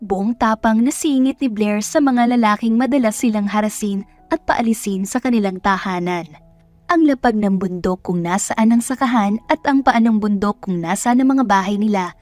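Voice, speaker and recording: A woman's voice: mid-range voice, normal speed, darkish tone, no echo, soft background music. A woman with a Filipino accent reading scripted story narration as a storyteller.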